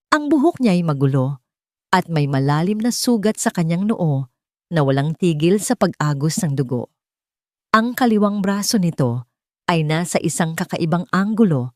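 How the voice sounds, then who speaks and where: A woman narrating a scripted audio drama in a measured, dramatic storytelling manner. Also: deep voice, darkish tone, no room sound, studio quality.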